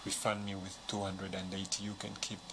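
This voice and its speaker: A man, a bank official, explaining in a measured, patient way in a studio interview. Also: low-pitched, monotone. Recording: noisy studio background.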